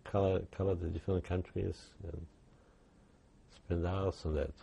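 An older man, giving halting oral history testimony with many restarts. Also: low voice, little pitch movement, gravelly, mellow, breathy edge. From Poland. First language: Yiddish. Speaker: interviewee.

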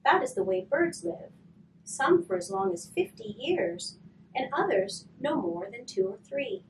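Woman in her thirties, soft and slow children's reader, slightly high voice, caught a little off-mic. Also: big pitch swings, gravelly. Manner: soft, slow and gentle, often trailing to a whisper. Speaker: hospice volunteer reading to children. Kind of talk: gentle storybook reading and activity demo. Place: curtained room, indoors. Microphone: far camera. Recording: distant mic with faint room noise.

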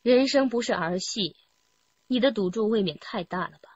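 Higher-voiced composed policewoman. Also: normal speed, slightly rough, dry recording, lo-fi sound. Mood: probing. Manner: probing, authoritative. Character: composed, pointed female police detective. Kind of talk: Chinese radio crime drama acting.

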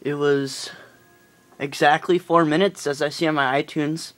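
A man's voice: fairly high pitch, steady tone, measured pace, fairly bright tone. A young man chatting casually while filming an amateur phone video in a small room. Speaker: friend filming. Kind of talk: casual chat.

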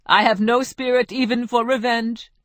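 A woman's voice: fairly high pitch, fairly even intonation, moderate pace, no room sound, studio quality, voice pushed up, close to an everyday voice. Woman voicing a heartbroken lamenting painter. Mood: mournful. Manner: doleful, lamenting. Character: heartbroken male painter, mournful and self-pitying.

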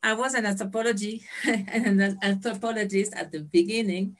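A middle-aged woman with a French accent speaks into a headset on a video call. She tells her stories with lots of laughs and lively gestures. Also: mid-range voice, moderate pace. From France. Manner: animated storyteller, laughs often, waves hands. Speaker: guest, education founder.

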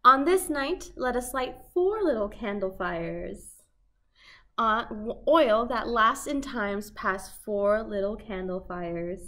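A young woman hosting a children's storytime, reading a picture book aloud in simple, deliberate phrases for toddlers. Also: medium pitch, expressive, pushed and bright. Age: young adult. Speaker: children's library storytime host. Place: small indoor set with fabric backdrop.

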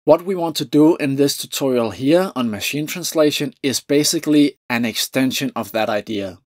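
Danish guy explaining code step by step, calm, dry close mic. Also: mid-range voice, moderate pace, no echo. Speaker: tutorial presenter. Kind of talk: screen-recorded coding lesson.

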